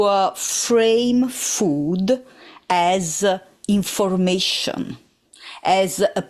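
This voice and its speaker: A woman from Italy with an Italian accent, giving thoughtful interview answers with frequent hesitations. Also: mid-range voice. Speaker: podcast guest.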